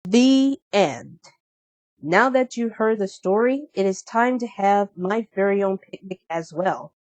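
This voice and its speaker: Lively woman reading a children's storybook. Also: medium pitch, a little slow, dry recording. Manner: expressive, acts out character voices. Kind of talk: children's storybook read-aloud.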